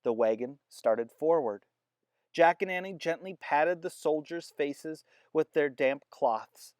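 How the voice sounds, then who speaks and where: A man reading a children's chapter book aloud with expressive storytelling. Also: fairly high pitch, slow, dry recording. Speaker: narrator.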